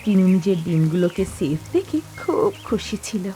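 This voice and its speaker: A woman narrating an animated fable for children as a scripted storyteller. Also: on the low side, normal speed, soft background music.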